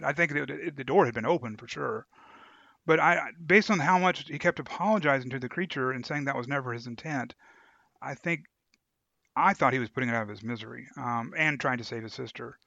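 A man's voice: mid-range voice, brisk, vocal fry, sharp tone, no room sound, dull audio. A man co-hosting a podcast in a casual book discussion, hesitant and often searching for words. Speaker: podcast co-host.

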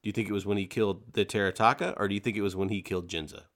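A man's voice: fairly low pitch, fairly even intonation, racing, fairly bright tone. A man co-hosting a podcast in a casual book discussion, talking emphatically and voicing characters' thoughts as he goes. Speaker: podcast co-host.

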